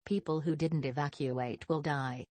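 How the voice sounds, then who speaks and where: A synthetic woman's voice reading scripted emergency broadcast announcements in a stiff, impersonal, measured way. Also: low voice, fairly even intonation, darkish tone, no room sound.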